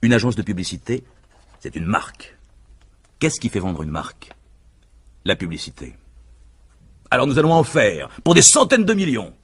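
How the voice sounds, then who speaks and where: An actor playing a self-assured, scheming husband in a vintage French radio mystery, speaking in persuasive, emphatic speeches with a husky voice. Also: male voice, on the low side, very animated, moderate pace, pauses often, a bit bright, full character voice. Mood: eager, boastful.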